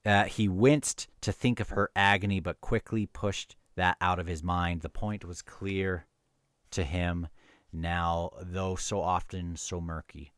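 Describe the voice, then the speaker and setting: A man casually talking about and reading from a novel, earnest and somewhat halting as he thinks aloud. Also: sits low, fairly even intonation, fairly bright tone, clear, resonant tone, no room sound, studio quality. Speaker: book reviewer and reader. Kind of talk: casual book discussion mixed with read-aloud novel passages.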